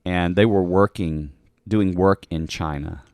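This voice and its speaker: A man's voice: deep voice, unhurried, a little mellow, smooth, no echo, clean studio sound. A man hosting a podcast, casually guiding his guest with prompting questions. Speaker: podcast host. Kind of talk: interview questions, conversational.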